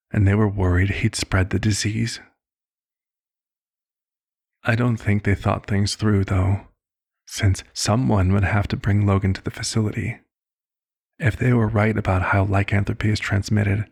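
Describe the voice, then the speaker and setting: A man acts out a compassionate vampire physician in an intimate ASMR roleplay. The voice sits low, with a gravelly creak and a husky edge. The delivery is formal, measured and hushed, like a weary father figure gently persuading. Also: exaggerated voice.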